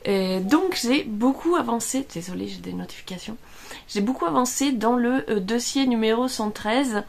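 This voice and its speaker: A woman's voice: fairly high pitch, moderate pace, vocal fry, slight background noise. A middle-aged woman from Italy with an Italian accent, chatting casually in a home vlog, hesitant and full of fillers, tired after being ill. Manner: hesitant, many fillers and restarts. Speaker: vlogger. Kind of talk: casual chat.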